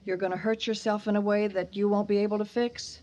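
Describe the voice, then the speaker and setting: A woman's voice: medium pitch, little pitch movement, brisk, dark tone, close to an everyday voice. Woman acting pleading, sorrowful mother. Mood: hurt, concerned. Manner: earnest, imploring. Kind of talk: old-time radio drama acting.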